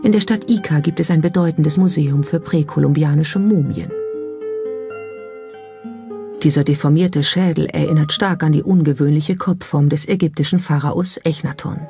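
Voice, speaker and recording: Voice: mid-range voice, brisk, hardly any pauses. A documentary narrator reading a scripted narration. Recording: music under the voice. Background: background music.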